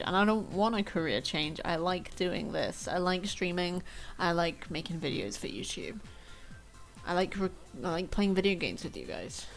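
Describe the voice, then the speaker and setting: A young woman streaming from home, chatting casually and rambling in a self-deprecating way. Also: on the low side. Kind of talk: casual chat to stream viewers. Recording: faint music behind.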